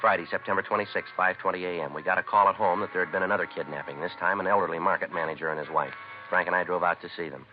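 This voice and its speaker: A man's voice: low voice, steady tone, rapid-fire, faint music behind, lightly acted. A man with an American accent acting as a stoic, businesslike police sergeant in a vintage radio drama, clipped and matter-of-fact in delivery. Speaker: lead actor and narrator of a police radio series. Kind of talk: 1950s radio acting, terse scripted narration. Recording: muffled old radio broadcast recording.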